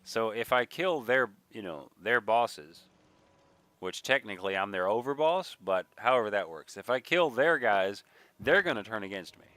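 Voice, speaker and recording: A man giving casual, rambling let's play commentary, thinking aloud at an unhurried pace, slightly nasal with a mild sniffle. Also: on the low side, expressive, a bit bright, dry recording. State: mild sniffle from a recent cold. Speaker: gaming video creator, player.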